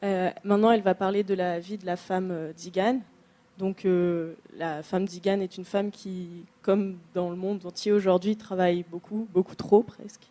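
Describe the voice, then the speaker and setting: Woman interpreter, mellow voice. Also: fairly low pitch, little pitch movement. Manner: plain, brief pauses while rendering. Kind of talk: consecutive interpretation.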